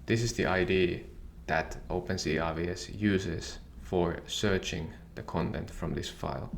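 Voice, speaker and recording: A man whose first language is Finnish, calmly and methodically walking through a software tutorial. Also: low-pitched, a little slow, vocal fry, a little hiss or hum. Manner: calm, methodical explaining. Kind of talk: screen-share software tutorial.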